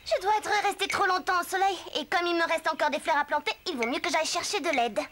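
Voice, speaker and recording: Very high, scolding cartoon gardener. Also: female voice, quick pace, background noise. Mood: put-upon. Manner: scolding, emphatic. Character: bossy, indignant female cartoon garden-keeper creature. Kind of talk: French cartoon dubbing. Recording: loud music behind.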